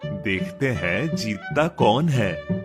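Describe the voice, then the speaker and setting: A man voicing a calm, patient old male tortoise in a children's cartoon, measured and unruffled. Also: on the low side, over loud music, exaggerated voice.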